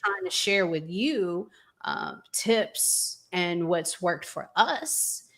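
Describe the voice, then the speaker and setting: A woman hosting a casual relationship podcast, reflective and pausing to find her words. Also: lowish voice, animated, a bit bright. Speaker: podcast host.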